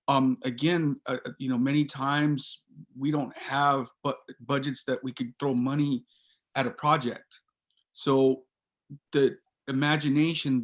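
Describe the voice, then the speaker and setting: A man who runs a music company, answering a panel question in a halting way with many restarts. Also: medium pitch.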